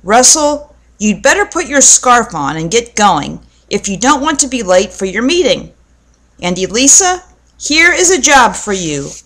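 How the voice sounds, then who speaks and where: A woman voicing a tired, calm mother in a children's storybook, firm and reasonable as she keeps the peace. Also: on the high side, exaggerated voice. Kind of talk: storybook character voice.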